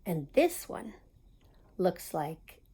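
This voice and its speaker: A woman's voice: on the low side, sing-song, extremely slow, gravelly, warm tone, slight background noise. A woman reading a children's picture book aloud as a storytime host, expressively and with small asides to young listeners. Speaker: storytime reader at a community library.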